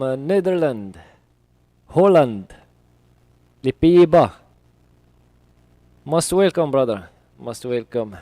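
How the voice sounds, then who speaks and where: A man with English as his third language, live-streaming his trading and talking through it slowly with many fillers at a home desk. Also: medium pitch, warm tone, no room sound. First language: not English. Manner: slow, hesitant, many fillers.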